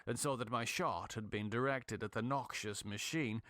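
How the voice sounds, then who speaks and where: A man reading a horror story as a dramatic narrator, in a grave, measured, ominous manner. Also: mid-range voice, a bit bright, no echo, studio quality. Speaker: audiobook narrator.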